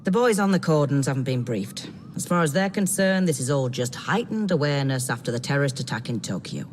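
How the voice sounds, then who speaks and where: An actor playing a world-weary London police inspector with a British accent, gruff, wry and guarded, in a video game. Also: male voice, medium pitch, animated, fairly quick, big-room sound, slight background noise, close to an everyday voice. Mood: brisk, guarded. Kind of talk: scripted video game cutscene acting.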